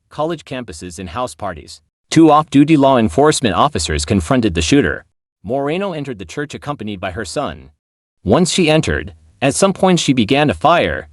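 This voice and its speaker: Man reading news with lively, swooping pitch. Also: dry recording, clean studio sound. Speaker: news narrator. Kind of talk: scripted news narration.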